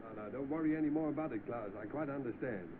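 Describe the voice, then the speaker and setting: An understanding gentleman employer acted by a man from a vintage film. He speaks quickly and reassuringly, on a thin soundtrack with clear background noise. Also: mid-range voice, lightly acted. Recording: tinny, noisy old film soundtrack.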